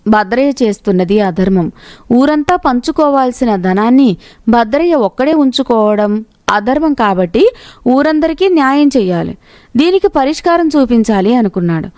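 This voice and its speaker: A woman narrates a moral tale from a script. Her voice sits a little high. The sound is dry, with very little room on it. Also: animated, moderate pace, a little mellow, no echo. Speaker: storyteller narrator.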